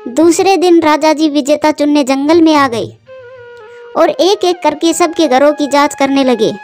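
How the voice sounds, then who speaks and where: A woman's voice: sits high, a little slow, darkish tone. A woman narrating a scripted children's story as storyteller. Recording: music behind the voice.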